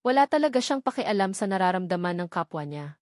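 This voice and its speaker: A woman's voice: mid-range voice, very animated, quick pace, no echo, studio quality. A woman reading scripted first-person novel narration as an audiobook narrator.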